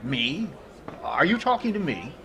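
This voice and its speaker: An actor playing a vain, stingy middle-aged comedian in old radio comedy style, with deliberate pauses and indignant questions. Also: male voice, on the high side, very animated, pushed and bright, exaggerated voice. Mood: puzzled. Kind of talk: 1950s radio comedy acting. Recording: live crowd, noisy audio with clicks.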